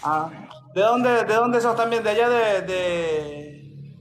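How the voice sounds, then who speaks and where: A man's voice: sits high, takes it slowly, soft background music. A man with a Venezuelan accent chatting casually as he hosts and asks questions. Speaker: host, creative dog groomer. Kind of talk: casual chat. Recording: echoey room with people heard around.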